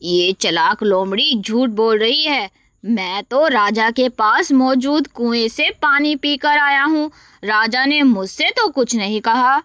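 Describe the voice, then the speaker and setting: An indignant, gullible cartoon bull, acted by a woman in a lowered register. The bull speaks earnestly and accusingly, at a measured pace. The recording is a little dull. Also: fairly bright tone, dry recording. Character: gullible, indignant male bull, protective father. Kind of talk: animated moral story acting.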